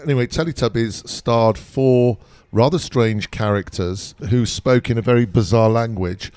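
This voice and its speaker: A man's voice: medium pitch, moderate pace, darkish tone, smooth, no room sound. An older man co-hosting a travel podcast, talking in a chatty, enthusiastic way. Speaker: podcast co-host. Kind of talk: casual podcast chat.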